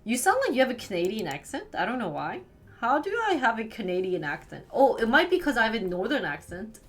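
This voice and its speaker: A woman with a Wisconsin accent from the United States, chatting casually with viewers as a live streamer and firing quick questions back at them. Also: fairly high pitch, big pitch swings. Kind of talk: casual chat answering viewers.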